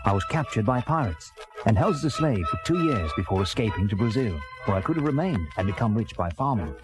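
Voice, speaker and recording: A man's voice: mid-range voice, lightly acted, poor audio. An actor playing an earnest castaway sea captain, telling his story in theatrical first-person storybook narration. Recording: music bed under early-1980s children's record. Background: dramatic background music.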